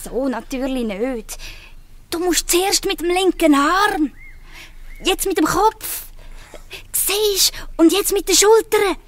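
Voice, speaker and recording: A woman with a Swiss German accent playing a bold, bossy teenage girl gang leader in a radio play, brisk and commanding. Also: very high voice, expressive, heavily acted. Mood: urgent, bossy. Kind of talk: radio play acting in dialect.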